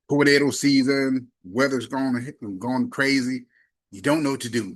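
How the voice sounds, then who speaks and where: A man with a mid-pitched voice walks through a product demonstration in a casual, folksy manner. He leans on key words and talks himself through each step. The recording is close and dry, with very little room sound. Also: fairly bright tone, no echo. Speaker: product reviewer. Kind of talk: casual hands-on gadget demonstration.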